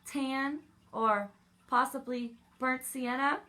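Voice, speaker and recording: A woman's voice: high-pitched, steady tone, slow pace, pushed and bright, pitched above the performer's own voice, full character voice. A woman voicing an aggrieved, dramatic crayon with a complaint, reading from a children's storybook. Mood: indignant, disgusted.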